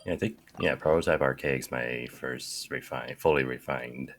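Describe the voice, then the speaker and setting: A man's voice: a bass voice, normal speed, a little hiss or hum. A man streaming a game and chatting casually as he plays. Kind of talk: casual gameplay chat. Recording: loud game music behind the voice. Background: video game music.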